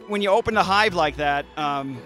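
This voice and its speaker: A man's voice: on the high side, moderate pace, soft background music. A man in his thirties, a beekeeper, talking casually outdoors into a handheld mic, with plenty of restarts and fillers. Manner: relaxed, frequent restarts and fillers. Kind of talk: casual explanation to an interviewer. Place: outdoors by beehives in a garden. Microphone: handheld interview mic.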